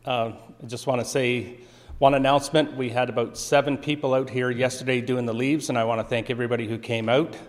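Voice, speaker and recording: A man opens a church service with announcements and introduces the guest speaker. He talks plainly and informally, at a middling pitch. His voice rings out with a long reverb through the church hall. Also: little pitch movement, brisk. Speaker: church elder making announcements.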